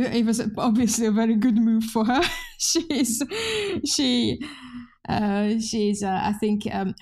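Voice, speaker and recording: A woman's voice: medium pitch, vocal fry, darkish tone. A Hungarian woman in her fifties with a Hungarian accent, a journalist telling her story as a podcast guest in loose conversational speech. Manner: conversational, many restarts, frequent laughter. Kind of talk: podcast interview.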